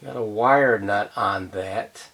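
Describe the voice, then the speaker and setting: A man's voice: lowish voice, takes it slowly, a bit bright. A man giving casual, unscripted commentary as he works on a repair, half talking to himself as he inspects and handles parts. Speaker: radio repair hobbyist narrating a restoration. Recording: noisy workshop recording with clicks.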